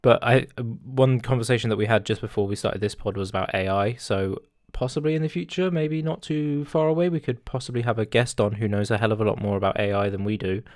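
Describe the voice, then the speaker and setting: A man's voice: lowish voice, fast talker, few pauses, darkish tone, no room sound, clean studio sound. A man in his twenties hosting a podcast in a casual, rambling chat with many fillers and laughs. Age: 20s. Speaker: podcast host.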